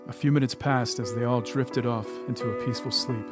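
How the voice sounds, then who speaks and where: A man's voice: mid-range voice, fairly even intonation, a little fast. A man reading scripted dramatic narration for an audio story. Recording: music under the voice. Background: prominent music.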